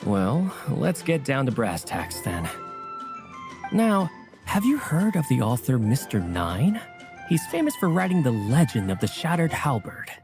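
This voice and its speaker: Courteous, animated book editor. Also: male voice, medium pitch, unhurried. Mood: businesslike. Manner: formal, deferential, earnest. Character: polite, eager adult male book editor. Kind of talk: video game voice acting. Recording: faint music behind the voice.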